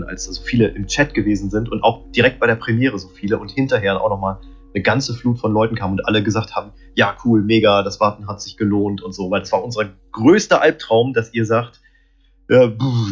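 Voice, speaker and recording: Husky-voiced man on a lo-fi line. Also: fairly low pitch. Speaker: podcast guest. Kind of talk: casual podcast chat.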